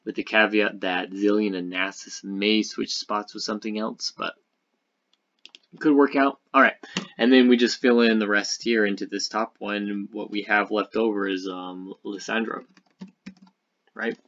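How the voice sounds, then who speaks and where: A man giving casual, unscripted gaming commentary as a video host, speaking haltingly as he thinks aloud. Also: lowish voice, steady tone, a bit bright, lo-fi sound.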